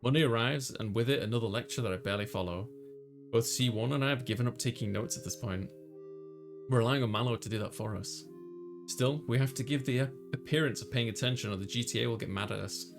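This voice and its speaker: A man narrates a visual novel in the first person with a creaky, gravelly voice. His pitch sits in a middle range, and music plays underneath as he reads casually. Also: fast. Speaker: let's player reading a visual novel aloud.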